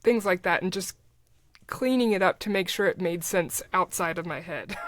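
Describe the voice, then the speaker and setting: A woman's voice: fairly low pitch, animated, moderate pace, no echo. A middle-aged woman talking casually as a podcast guest, explaining things in a conversational way. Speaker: podcast guest, writer and producer.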